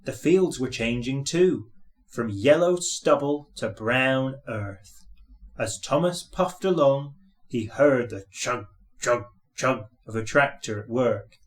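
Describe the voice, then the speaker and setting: A man's voice: medium pitch, lively intonation, slow. A man narrating a scripted children's story as its reader.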